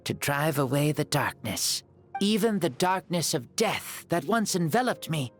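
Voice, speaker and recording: A man's voice: on the high side, big pitch swings, unhurried. A man playing a revered, benevolent ancient ruler's spirit in a video game, speaking in a stately, formal way. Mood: reverent. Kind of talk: scripted video game acting. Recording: game music behind the voice.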